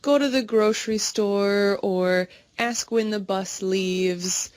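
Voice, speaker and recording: A woman speaking clearly and simply in a slow conversation practice recording. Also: mid-range voice, fairly even intonation, darkish tone, no room sound. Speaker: English speaker in a practice dialogue.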